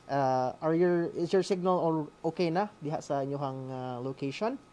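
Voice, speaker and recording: Filipino man co-hosting, halting and filler-filled. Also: on the high side, darkish tone. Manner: halting, frequent filler words and tag questions. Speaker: radio school co-host. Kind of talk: casual hosting of an online class, code-switching.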